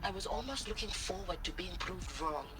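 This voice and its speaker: An actor playing a proud, weary, defiant military captain in a video game cutscene, formal and measured with dry delivery. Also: male voice, high-pitched, expressive. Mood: grave, defiant.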